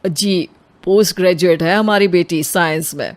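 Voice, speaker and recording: An actor playing a conservative, middle-aged family elder with an earnest, measured manner in a cartoon story. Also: mid-range voice, lightly acted.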